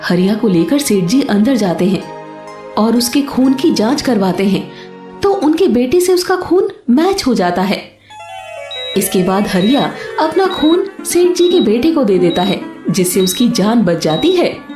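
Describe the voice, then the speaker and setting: A woman tells a story in a high, mellow voice that rises and falls expressively. The narration has a roomy echo, and soft sitar music plays behind. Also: sing-song, measured pace. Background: soft instrumental music with sitar.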